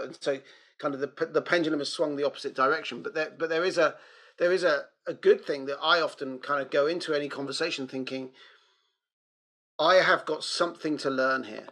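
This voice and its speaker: Creaky-voiced man, reflective and conversational. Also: a little high, fairly bright tone. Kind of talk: casual reflective religious discussion.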